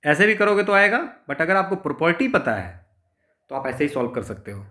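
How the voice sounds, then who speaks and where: A man with an Indian accent and a fairly high-pitched voice gives an informal maths lesson. He works through each problem step by step, explaining as he goes and often adding little tags to check that the listener follows. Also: sing-song, brisk, pushed and bright. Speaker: maths teacher.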